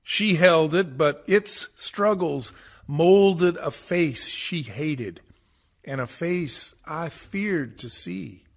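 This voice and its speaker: A man's voice: fairly high pitch, extremely slow, exaggerated voice. A man playing a tormented, guilt-ridden husband in a radio play, delivering a brooding verse monologue with deliberation.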